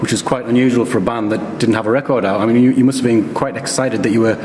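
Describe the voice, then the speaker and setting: A man's voice: medium pitch, steady tone, quick pace. A British man interviewing a musician with chatty questions and frequent murmurs of agreement. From United Kingdom. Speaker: radio interviewer. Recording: echoey hall, noisy background.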